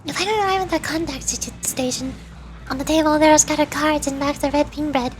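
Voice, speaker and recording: A woman's voice: sits high, fairly even intonation, quick pace. A woman streaming a game playthrough and reading the story text aloud. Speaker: VTuber streamer.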